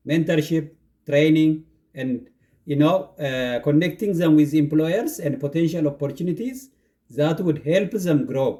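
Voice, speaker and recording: An Ethiopian-accented man talks earnestly about his work, stacking up points one after another. His voice sits a little high for a man, but it stays mellow and soft. He is a university instructor giving a relaxed, explanatory talk. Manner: earnest, builds long lists of points. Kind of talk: casual explanatory monologue.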